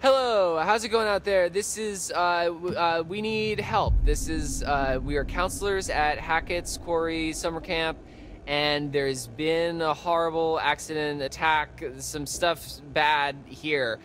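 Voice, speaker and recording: A rambling young camp counselor. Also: male voice, a little high, a bit bright, slight background noise. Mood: flustered. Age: young adult. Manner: rambling, halting with many fillers. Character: young male camp counselor, nerdy radio tinkerer. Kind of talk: video game voice acting. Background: music.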